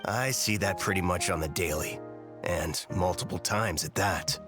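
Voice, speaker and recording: A man's voice: deep voice, normal speed, over music, natural voice. A man playing a surly, curt, grudging thug in a video game. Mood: reluctant.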